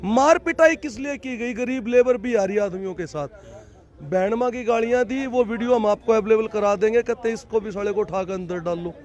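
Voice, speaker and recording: A man's voice: high-pitched, darkish tone, highs cut off. A man at an outdoor protest speaking to a reporter in an indignant, emphatic way, repeating his points. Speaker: protest organizer. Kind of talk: impassioned protest speech to reporter.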